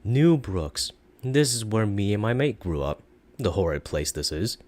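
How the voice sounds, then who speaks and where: A man voicing a sardonic, crude male storybook narrator, speaking in a snarky monotone with falling intonation. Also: fairly low pitch, unhurried.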